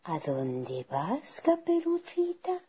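Casting: woman as big sly wolf for a children's tale, sugary and cunning, unhurried, husky. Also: mid-range voice, huge pitch swings, warm tone, voice pushed up, full character voice. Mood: sly, wheedling. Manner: wheedling, falsely sweet, drawn-out delivery. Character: sly, wicked big male wolf, sweet-talking predator.

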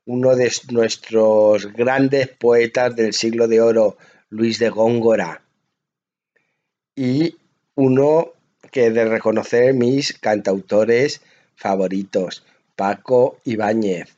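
Unhurried man presenting, slightly dull sound. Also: lowish voice, darkish tone. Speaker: radio poetry show host. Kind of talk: poetry programme presenting.

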